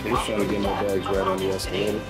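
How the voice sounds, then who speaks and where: A man vlogging casually as he makes his way through a busy airport, talking in a relaxed, chatty way to a handheld camera. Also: low voice, quick pace, loud music behind the voice. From Nigeria. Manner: relaxed, chatty, laughs at himself. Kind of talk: casual vlog narration. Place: busy airport terminal and airport train. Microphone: handheld camera at arm's length.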